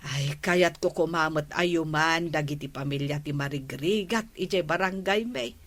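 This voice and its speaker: A woman's voice: deep voice, moderate pace, a bit bright. A woman playing a village captain's wife in a radio comedy drama, speaking politely and earnestly.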